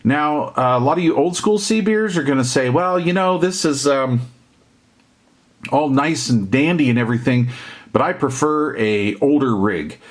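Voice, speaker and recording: A middle-aged or older man giving a casual, off-the-cuff product review in a conversational way, with hesitant pauses. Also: mid-range voice, expressive. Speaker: CB radio hobbyist and reviewer.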